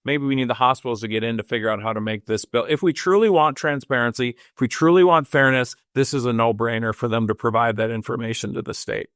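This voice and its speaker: A man's voice: medium pitch, steady tone, fast talker, hardly any pauses, fairly bright tone, no echo. Fifty-year-old man, conversational, dry studio. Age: 50s. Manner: conversational, agreeable, frequent affirmations. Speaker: co-host. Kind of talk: political talk show discussion.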